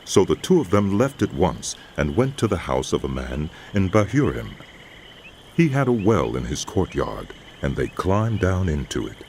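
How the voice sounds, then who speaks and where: A man giving solemn, measured dramatic narration of scripture as a scripted audio production. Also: deep voice, gravelly, a little mellow, slight background noise.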